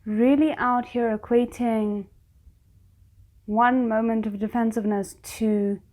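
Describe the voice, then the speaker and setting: Female reaction host: higher-pitched voice, unhurried delivery, casual and expressive, thinks aloud in loose fragments. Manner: chatty, expressive, breaks off mid-thought. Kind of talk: casual, unscripted reaction commentary on a TV show.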